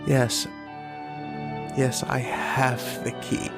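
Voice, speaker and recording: A man's voice: medium pitch, monotone, very slow. A man playing a haunted, lovesick, war-weary soldier in an old-time radio horror drama, with a brooding, dramatic delivery. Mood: tender, yearning. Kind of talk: old-time radio horror acting, first-person narration and dialogue. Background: violin music.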